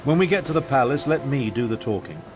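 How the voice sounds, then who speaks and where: A man's voice: mid-range voice, animated, fast, music in the background, close to an everyday voice. Pompous older statesman mage played by a man. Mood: grave, disdainful. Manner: pompous, self-assured. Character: pompous, disdainful male elder statesman and battle mage. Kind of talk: fantasy video game acting.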